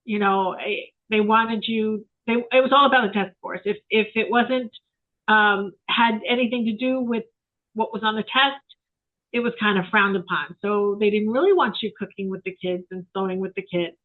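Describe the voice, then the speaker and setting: A woman's voice: mid-range voice, fairly even intonation, normal speed. A woman around sixty, a photographer guest, talking in a rambling, reflective way with many fillers over a remote call. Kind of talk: casual interview chat. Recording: tinny phone-like line.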